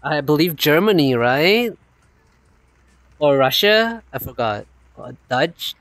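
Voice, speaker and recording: A man hosting a casual chat stream in an animated, rambling way with frequent laughs and a non-native accent. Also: on the high side, takes it slowly, no echo. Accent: non-native, unnamed. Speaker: streamer host.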